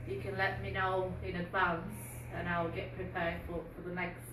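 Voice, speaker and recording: A woman's voice: lowish voice, little pitch movement, moderate pace. A woman whose first language is Bulgarian, a police community support officer talking informally to a community group, with many fillers and restarts. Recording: echoey room, distant mic, noisy.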